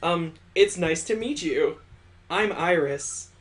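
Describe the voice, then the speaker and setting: This is a man playing a young girl in a visual novel game. She sounds polite and anxious, apologizing hesitantly, in a voice pitched a little high for a man. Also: takes it slowly. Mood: awkward, apologetic.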